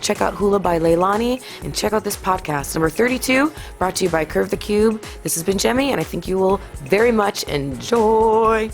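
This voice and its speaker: A woman hosting a podcast, chatty and bubbly, laughing often and filling pauses with ums. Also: medium pitch, faint music behind. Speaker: podcast host. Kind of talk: casual podcast chat and show intro.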